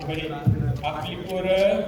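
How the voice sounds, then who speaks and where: Actor as cranky, wistful old grandad on stage, slow delivery, distant and very echoey. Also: male voice, high-pitched, a crowd in the background. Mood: nostalgic. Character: grumbling, nostalgic old grandfather. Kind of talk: amateur stage play acting. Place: stage in a college hall. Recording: echoey hall, distant mic, faint noise.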